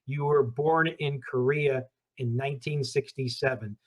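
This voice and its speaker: A man's voice: medium pitch, fairly even intonation, a little slow, poor audio. A middle-aged man hosting an interview, asking questions in an upbeat, conversational way. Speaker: host, founder of a search service.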